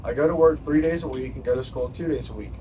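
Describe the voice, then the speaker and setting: A young student from Kentucky talks quickly and plainly. He is miked from a distance, with clear factory noise in the background. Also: medium pitch, mellow. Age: young adult. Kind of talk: scripted testimonial. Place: manufacturing plant.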